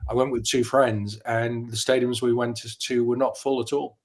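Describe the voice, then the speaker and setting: A man's voice: mid-range voice, level tone, normal speed. A journalist in his fifties who grew up in the Netherlands, speaking as an interview guest in an articulate, opinionated, reflective way. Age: 50s. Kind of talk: conversational interview.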